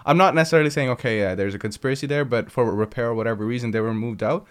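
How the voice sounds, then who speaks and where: A man's voice: mid-range voice, very fast. A man guesting on a podcast, explaining at length in casual chat, restarting sentences and leaning on fillers.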